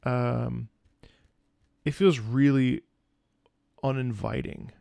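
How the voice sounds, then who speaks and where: A male streamer chats casually as he reacts to a video game. His voice has plenty of fry and sits very dry and close. He is playful and swears freely. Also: mid-range voice, fairly even intonation, extremely slow, dark tone, no room sound. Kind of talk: casual live stream commentary.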